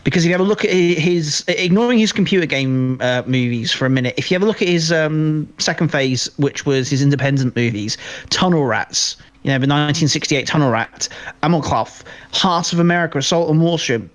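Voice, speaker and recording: A man's voice: fairly high pitch, lively intonation, fairly quick. Male podcast co-host, conversational, loose and rambling delivery with repeated words. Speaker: podcast co-host discussing films. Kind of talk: casual chat.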